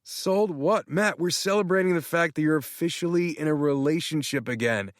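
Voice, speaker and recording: A man voicing an excitable, flamboyant male friend who talks in theatrical gushes. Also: fairly high pitch, normal speed, no echo, clean studio sound, pitched above the performer's own voice, lightly acted. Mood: indignant. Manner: theatrical, gushing.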